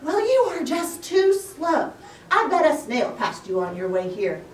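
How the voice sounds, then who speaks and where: A boastful, impatient puppet-show hare, acted out by a woman, talks in a brash, scolding way. The voice is high and animated, heard at a distance in an echoey room. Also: measured pace. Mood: mocking. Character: boastful, impatient, fast hare, bossy and competitive. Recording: roomy echo, distant microphone.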